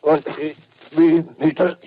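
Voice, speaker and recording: A man's voice: on the high side, flat delivery, slow pace. A man playing a strained, choking artillery gunner in a 1930s radio drama. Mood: strained, faltering. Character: strained male gunner struggling through poison smoke. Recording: tinny, noisy old radio recording.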